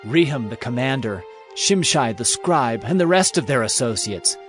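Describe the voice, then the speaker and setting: A man's voice: mid-range voice, lively intonation, moderate pace, a bit bright, faint music behind, close to an everyday voice. A man reading as scheming Persian-era officials. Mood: accusing. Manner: formal, accusatory reading. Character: officials writing an accusing letter, men.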